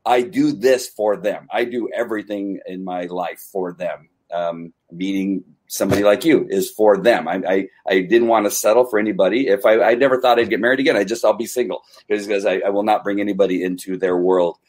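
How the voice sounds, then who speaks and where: A man's voice: fairly low pitch, steady tone, fairly quick, few pauses, darkish tone. A man hosting a casual livestream chat, speaking in an earnest, playful and hesitant way. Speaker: host.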